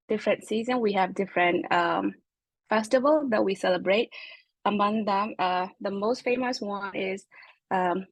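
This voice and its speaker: A woman's voice: medium pitch, no room sound, rough recording. Hesitant Burmese-accented young woman. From Myanmar. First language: Chin. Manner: hesitant, many fillers. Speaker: international graduate student. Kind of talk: interview answers.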